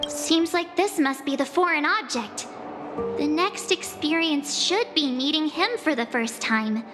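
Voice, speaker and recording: Video game heroine: high, youthful, perky and candid teenage girl, music loud underneath. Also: expressive, measured pace. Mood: determined.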